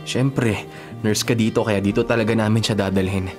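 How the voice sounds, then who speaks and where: A man's voice: on the low side, steady tone, moderate pace, a little mellow, soft background music, close to an everyday voice. A Filipino man acting in a radio drama as a defensive, unsentimental grown son with a matter-of-fact delivery.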